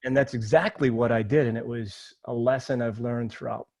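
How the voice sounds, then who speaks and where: A middle-aged man from Maine, a podcast guest telling personal stories casually and informally, often halting and repeating words. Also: mid-range voice, fairly even intonation, darkish tone, no room sound, clean studio sound. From Maine, United States.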